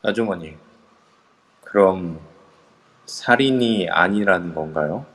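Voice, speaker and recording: A man voices a determined young man who leads a small group. He speaks politely but firmly in a lowish voice. It rings with long reverb from a phone voice chat. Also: animated, slow pace, a bit bright, heavily acted. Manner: polite and firm.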